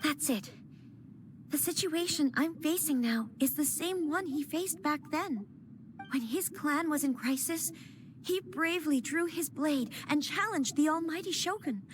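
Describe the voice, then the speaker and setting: An earnest young noblewoman, speaking formally and with resolve. Her voice is high and clear, with a faint hiss of noise behind it. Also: measured pace. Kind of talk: video game voice acting.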